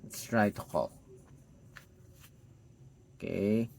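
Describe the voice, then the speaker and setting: A man's voice: lowish voice, expressive, extremely slow, vocal fry, darkish tone, slight background noise. A man giving an unscripted, step-by-step hands-on product demo, thinking aloud as he tests. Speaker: tech product reviewer.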